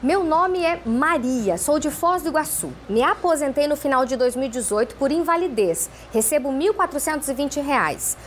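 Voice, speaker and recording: Woman tv host reading out viewer questions, high lively voice, faint hum behind. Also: moderate pace, hardly any pauses. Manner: reads messages in first person, plain and steady.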